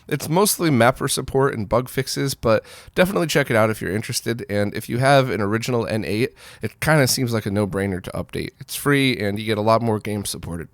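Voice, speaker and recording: A man's voice: lowish voice, fairly quick, few pauses, no room sound, studio quality. A man hosting a retro gaming news roundup in an informal, conversational and opinionated way. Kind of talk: casual news roundup commentary.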